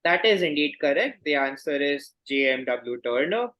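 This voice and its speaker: A man hosting a quiz, reading questions and announcing results in a courteous, formal, measured way. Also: a little high, lively intonation, dull audio.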